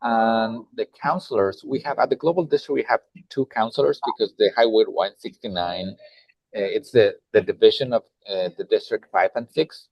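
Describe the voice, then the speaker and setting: A man from Mexico with a Mexican Spanish accent, a nonprofit director talking in a roundtable, halting with many fillers and restarts, heard over a video call. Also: lowish voice, creaky, dry recording, lo-fi sound. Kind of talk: roundtable discussion.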